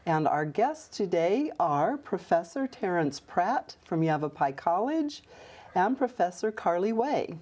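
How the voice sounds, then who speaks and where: A woman hosting a community TV interview show, speaking in a measured way with frequent ums. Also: lowish voice, big pitch swings, darkish tone. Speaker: host, city mayor. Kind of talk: local TV interview. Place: TV studio.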